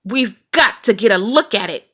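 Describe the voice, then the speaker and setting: A woman's voice: mid-range voice, lively intonation, normal speed, a bit bright. A woman voicing an earnest, folksy father bear from a children's storybook. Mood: eager.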